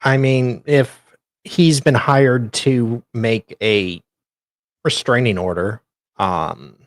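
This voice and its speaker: A man co-hosting a casual talk show, speaking in a measured, somewhat hesitant, conceding way. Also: medium pitch, dry recording, studio quality. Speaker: co-host. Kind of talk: casual chat, brief reactions.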